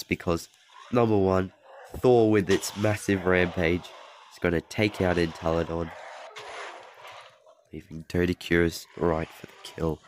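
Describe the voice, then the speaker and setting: A young man with a deep, low voice talks over his own mobile game footage in a loose, rambling way. He corrects himself, trails off and chuckles as he goes. His voice sounds a bit off because he is sick, and faint noise sits behind him. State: voice off from being sick. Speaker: mobile game channel host. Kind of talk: gameplay commentary over a screen recording.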